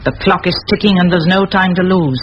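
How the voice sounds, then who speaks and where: An actress playing a determined middle-aged schoolmistress in a vintage radio play, speaking in firm, measured, eloquent phrases. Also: female voice, fairly low pitch, fairly even intonation, close to an everyday voice. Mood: stern, urgent. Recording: muffled old radio recording, faint hiss.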